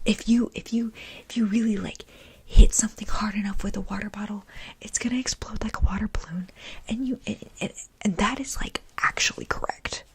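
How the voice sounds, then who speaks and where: A young woman speaks entirely in a breathy, unvoiced whisper, very airy and husky, right up against the microphone. Her delivery is playful and meandering, looping words rhythmically as she rambles through silly ideas. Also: mid-range voice. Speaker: ASMR creator. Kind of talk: ASMR whispering, deliberately silly wrong explanations.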